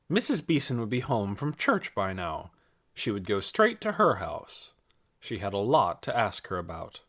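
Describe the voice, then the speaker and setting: A man reads scripted fiction as an audiobook narrator. His delivery is careful and expressive, with lively rises and falls in pitch throughout. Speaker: audiobook reader.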